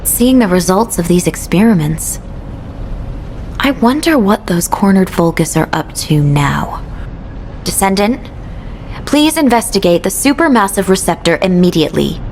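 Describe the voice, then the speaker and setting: An actress voicing a mission operator in a video game cutscene, giving briefings in a measured, businesslike way. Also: female voice, mid-range voice, big pitch swings, darkish tone. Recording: game audio with noise.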